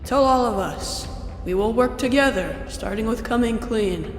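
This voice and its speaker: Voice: mid-range voice, normal speed, reverberant, long reverb tail, slight background noise, pitched above the performer's own voice, close to an everyday voice. A narrator voicing an earnest, composed female alien soldier who commands her troops in a read-aloud story. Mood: earnest, urging.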